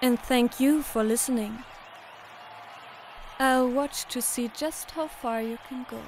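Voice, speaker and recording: A woman voicing a proud, solemn young woman monster trainer in a manga dub, speaking in an earnest, measured, theatrical way. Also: sits high, crowd noise, background noise. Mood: calm, proud. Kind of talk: dramatic manga dub acting.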